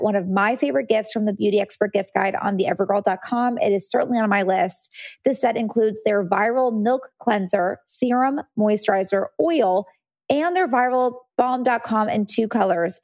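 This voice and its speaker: A woman's voice: medium pitch, vocal fry, a little mellow. A young adult woman hosting a podcast in a bubbly, chatty way, her voice a little scratchy. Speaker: podcast host. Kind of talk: casual podcast chat.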